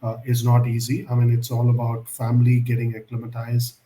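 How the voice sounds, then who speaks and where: A male interview host who speaks in a formal, elaborate way, often hedging with "I would say." His voice is dark and mellow. It is recorded in an echoey room. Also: mid-range voice, monotone, brisk. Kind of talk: interview questions.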